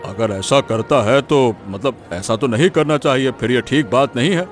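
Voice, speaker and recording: A man's voice: mid-range voice, normal speed, faint music behind, lightly acted. A man playing a hesitant, easily swayed village headman in a cartoon, folksy and mildly cautious. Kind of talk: Hindi cartoon moral story acting.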